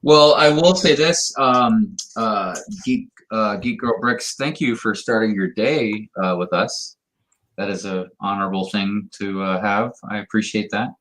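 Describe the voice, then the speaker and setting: Chatty male host, low pitch, bright forceful tone, friendly. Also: measured pace. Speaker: livestream host. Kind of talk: casual livestream chat.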